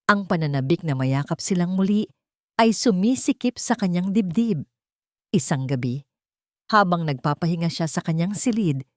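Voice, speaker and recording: A woman's voice: lowish voice, no echo, clean studio sound. A woman with a Filipino accent reading a scripted dramatic story as an audiobook narrator.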